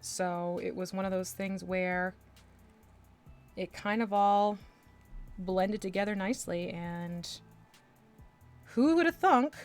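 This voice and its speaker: Chatty woman from Texas streaming her painting, rambling and self-amused, mid-pitched, soft music underneath. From Texas, USA. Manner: chatty, rambling, with asides and laughs. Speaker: livestreamer painting miniatures. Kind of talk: casual chat while working.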